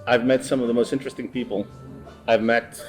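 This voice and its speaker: A man with a Greek accent, a guest chatting in a bar, speaking haltingly with many fillers and restarts. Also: mid-range voice, fairly even intonation, soft background music. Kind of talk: casual chat.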